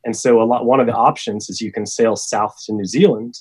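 Relaxed man telling stories. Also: medium pitch, brisk, breathy edge. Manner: relaxed, chatty, with fillers. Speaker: guest, sailor living on a boat. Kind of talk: casual storytelling.

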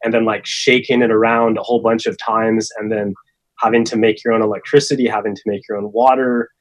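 A man, a guest who lives on a sailboat, telling stories in a relaxed, chatty way. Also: on the low side, brisk, rough recording. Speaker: guest, sailor living on a boat. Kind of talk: casual storytelling.

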